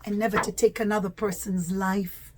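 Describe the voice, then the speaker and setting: A woman's voice: medium pitch, level tone, moderate pace, noisy background. A woman telling a story to young children as a teacher, with simple, emphatic, repetitive phrasing. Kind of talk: animated children's puppet-show storytelling.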